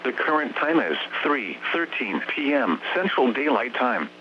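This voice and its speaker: A synthetic American man's voice reading scripted weather bulletins as a radio announcer in a formal, measured way. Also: medium pitch, sing-song. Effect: synthetic text-to-speech voice. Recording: muffled, noisy radio broadcast with hum.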